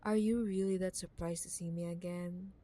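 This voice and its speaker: A woman playing a possessive, condescending wealthy CEO ex-girlfriend in an intimate cyberpunk audio roleplay, teasing and scornful with drawn-out emphasis. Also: lowish voice, steady tone, dark tone, lightly acted. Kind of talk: ASMR audio roleplay, intimate dramatic monologue.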